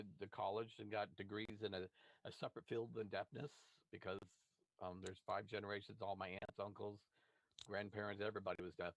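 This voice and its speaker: A man from Oakland with a somewhat low voice introduces himself on a discussion panel. He talks in a halting way, pausing on fillers and restarting his sentences. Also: dry recording, highs cut off. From Oakland, California. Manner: hesitant, many fillers and restarts.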